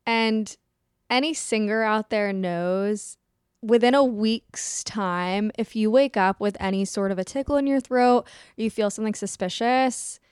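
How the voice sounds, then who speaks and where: A young woman co-hosting a podcast, telling stories in an animated, chatty way. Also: mid-range voice, unhurried, clear, resonant tone, dry recording, studio quality. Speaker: podcast co-host. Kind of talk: casual chat.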